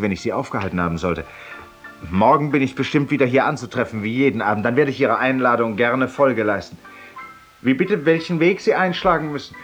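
An actor playing an urbane, talkative, self-mocking judge-penitent and tavern regular, with polished, ironic rhetoric in a 1950s German radio drama. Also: male voice, medium pitch, fairly bright tone, natural voice. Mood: courteous, confiding. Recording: distant-sounding 1950s radio recording.

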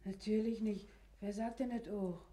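An actress playing a meek, evasive household maid in a radio play, speaking deferentially. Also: female voice, mid-range voice, steady tone, normal speed, warm tone, natural voice. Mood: evasive, soothing. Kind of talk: German radio play acting.

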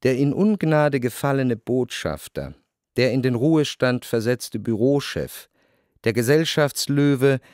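A man's voice: medium pitch, expressive, a little mellow, no room sound, natural voice. Man as reflective literary narrator, very dry studio. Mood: measured, reflective. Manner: measured, deliberate phrasing with pauses. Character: reflective, well-to-do male narrator recalling society life. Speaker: first-person narrator of a literary radio drama. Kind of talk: scripted literary narration in a radio play. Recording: dry studio with clear music. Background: music.

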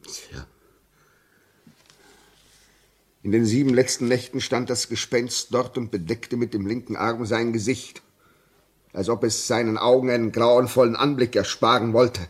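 An actor playing a haunted, anxious railway signalman in a 1950s radio drama, halting and agitated, swinging between hushed dread and crying out. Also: mid-range voice, steady tone, slightly rough, natural voice. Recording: muffled-edged vintage 1950s radio recording.

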